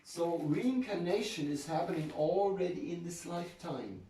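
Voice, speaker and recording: A man's voice: on the high side, expressive, normal speed, mellow, slight background noise. A man giving an informal spiritual talk to a group, chatty and joking, often restarting his sentences. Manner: conversational, jokes and laughs, restarts often. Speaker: spiritual speaker. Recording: echoey room, voice from far off mic.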